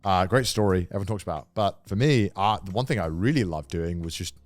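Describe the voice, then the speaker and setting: Low-voiced man chatting on a podcast. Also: fast, no room sound. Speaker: podcast co-host; voice actor. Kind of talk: casual podcast chat.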